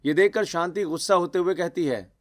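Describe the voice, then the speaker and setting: A man's voice: high voice, fairly quick, slight background noise. A man narrating a scripted cartoon story.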